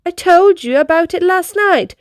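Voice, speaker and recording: Storybook bear, female, done by a woman reader, high lilting voice, patient, practical, instructive friend. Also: expressive, moderate pace, no room sound, clean studio sound. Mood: patient explaining.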